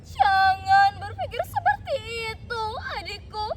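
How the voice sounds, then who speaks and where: A woman's voice: extremely high pitch, very animated, unhurried, voice pushed up, exaggerated voice. A woman voicing a loving orphan girl of sixteen in a folktale, imploring and tearful. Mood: anguished, tender. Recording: noisy, distant microphone.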